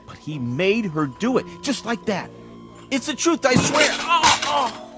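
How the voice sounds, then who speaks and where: A man's voice: very high-pitched, big pitch swings, measured pace, over loud music, exaggerated voice. An actor playing an eager, clumsy, gullible male coworker who talks in an earnest, folksy, emphatic way in a television drama. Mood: excited, insistent.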